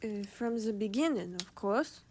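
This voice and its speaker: A woman's voice: medium pitch, expressive, a little slow, darkish tone, slight background noise. A woman playing an earnest, stubborn young woman in a fantasy audio drama. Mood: eager. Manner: earnest, insistent.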